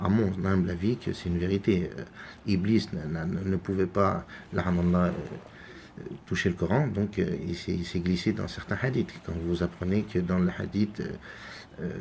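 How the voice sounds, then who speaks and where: A man from France giving unscripted religious commentary, halting and full of repeated words and fillers. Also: deep voice, vocal fry, darkish tone, slight background noise. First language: French. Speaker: religious video maker.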